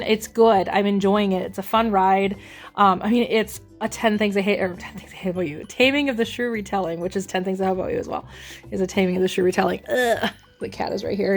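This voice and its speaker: A young woman chats away in a loose, rambling vlog style. She fills pauses with ums and corrects herself as she goes. Her pitch sits in an ordinary middle range, and soft music plays faintly behind her. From Minnesota, USA. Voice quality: deep voice. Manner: rambling, chatty, many ums and self-corrections. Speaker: vlogger. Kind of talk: casual chat.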